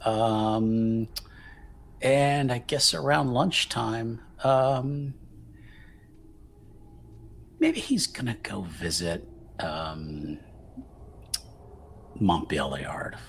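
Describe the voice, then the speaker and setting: A man with a fairly low voice takes his turn in a tabletop roleplaying game. He speaks slowly and haltingly, thinking aloud in casual talk. There is faint noise behind him. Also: animated, vocal fry. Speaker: tabletop RPG player.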